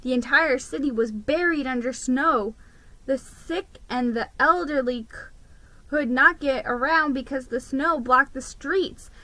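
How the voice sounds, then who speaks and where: Slow, bright-voiced woman reading dramatically. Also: sits high, no echo, rough recording. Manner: deliberate, theatrical. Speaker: storybook reader. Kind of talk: dramatic reading of a children's story.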